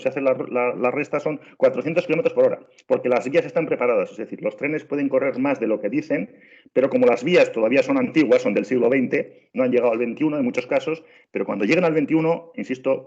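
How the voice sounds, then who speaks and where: A middle-aged man from Spain speaks with a clear Spanish accent. He presents in an insistent way, repeats himself and restarts his sentences often. The sound is dull and lo-fi, as on a video call. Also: medium pitch, darkish tone. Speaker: train travel trainer. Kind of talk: webinar presentation.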